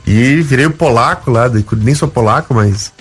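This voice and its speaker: A man's voice: mid-range voice, moderate pace, slightly rough, soft background music. A man with a gaúcho southern Brazilian accent, telling stories casually as an interview guest and acting out dialogue. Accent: Gaúcho Brazilian Portuguese. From Rio Grande do Sul, Brazil. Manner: animated, acts out quoted dialogue. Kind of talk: casual storytelling.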